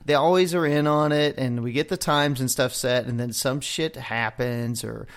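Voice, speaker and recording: A man's voice: medium pitch, normal speed, clean tone. A man hosting a podcast, telling a rambling story in casual chat with a lot of swearing. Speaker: podcast host.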